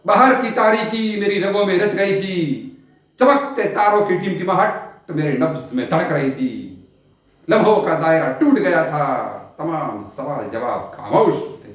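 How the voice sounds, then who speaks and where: Echoing room, male stage actor as brooding eccentric sultan, slow declamatory delivery, poetic diction, world-weary grandeur. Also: fairly high pitch, lively intonation. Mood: nostalgic, rapt. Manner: theatrical, declamatory, with long dramatic pauses and swells. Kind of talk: Hindi stage play monologue, poetic Urdu diction. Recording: very echoey, reverberant room.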